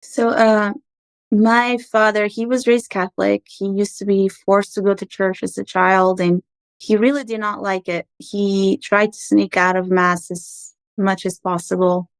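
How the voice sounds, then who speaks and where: Smooth-voiced woman telling her story. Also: mid-range voice, flat delivery, a little slow, no room sound. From Portugal, raised in Angola and Macau. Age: 30s. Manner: reflective, earnest, with frequent ums. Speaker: podcast guest. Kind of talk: personal storytelling interview.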